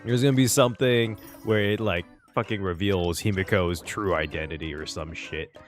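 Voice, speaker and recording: A man's voice: low voice, moderate pace. A man giving casual, enthusiastic reaction commentary on a video game, talking in loose, sweary slang. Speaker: gaming reaction content creator. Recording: game music behind the voice.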